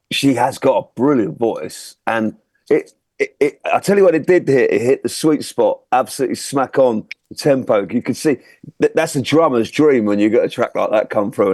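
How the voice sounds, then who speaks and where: A man speaks casually as a judge on a music panel. His pitch is middling and the recording is dry, with little room sound. He is opinionated and talks in everyday matey phrases. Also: a little mellow, dry recording.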